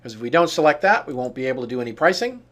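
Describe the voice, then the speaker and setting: A man narrating an on-screen software demonstration, explaining each step in turn. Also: medium pitch, very animated, very fast. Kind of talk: walkthrough tutorial narration of on-screen steps.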